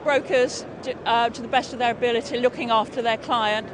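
A woman's voice: fairly high pitch, steady tone, brisk. Enthusiastic middle-aged woman broker. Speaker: insurance broker. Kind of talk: vox pop interview answer. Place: busy indoor exhibition hall. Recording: background hall noise.

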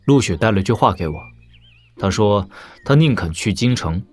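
An actor playing a shrewd young warlord prince with measured, casual authority in a wuxia audio drama. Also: male voice, fairly low pitch, animated, a little mellow, breathy edge, faint music behind. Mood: reflective.

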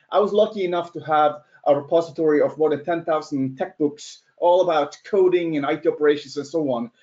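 A man's voice: fairly high pitch, a little mellow. Chatty Norwegian man giving a webinar. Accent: slightly American-sounding. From Norway. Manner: chatty, laughs at own points, rhetorical questions. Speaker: webcast presenter. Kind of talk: casual live webinar presentation. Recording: dull lo-fi webinar audio.

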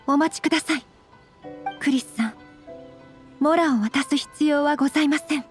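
A woman portrays a young noble swordswoman from an anime game. Her voice is high, her manner composed, formal and courteous, gentle yet firm, with music behind her. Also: normal speed, lightly acted. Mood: calm, resolute. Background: background music.

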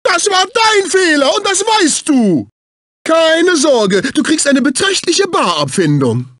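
A man's voice: extremely high pitch, sing-song, slow, a bit bright, dry recording, studio quality, heavily acted. A male actor voicing a fussy, snobbish, servile executive assistant in a dubbed cartoon video game, with a prissy, condescending, exclamatory delivery. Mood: indignant, haughty. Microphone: close studio microphone.

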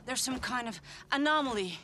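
Earnest, pleading game officer, clear background noise. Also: female voice, a little high, animated, normal speed. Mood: alarmed warning. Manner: urgent, pleading. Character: earnest, alarmed female colonial mission officer. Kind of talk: video game cutscene acting. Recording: loud battle and ambience noise behind.

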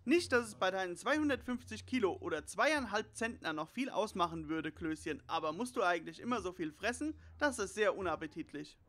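Guy doing a nerdy know-it-all teen boy, sharp bright voice, kinda prissy. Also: high-pitched, lively intonation, a little fast, few pauses. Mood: disapproving.

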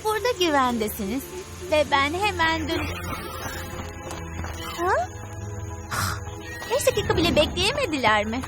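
A woman's voice: extremely high pitch, big pitch swings, measured pace, long pauses, heavily acted, rough recording. A woman voicing a clever, cautious cartoon cave girl who likes to say I told you so, in a dub. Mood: scolding. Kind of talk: cartoon dubbing. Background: background music.

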